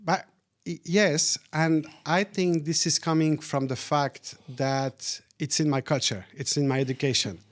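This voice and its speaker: A man's voice: on the high side, steady tone, a little slow. A middle-aged man born in Syria, speaking as a guest in a casual interview and often repeating words as he thinks. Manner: thoughtful, repeats words while searching for them.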